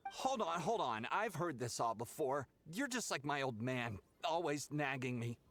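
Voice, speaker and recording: High-pitched, brash young townsman. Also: big pitch swings, moderate pace, a bit bright, slight background noise. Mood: annoyed, accusing. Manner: brash, whiny, accusatory. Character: impatient, suspicious young townsman seeking easy blessings. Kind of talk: anime video game voice acting.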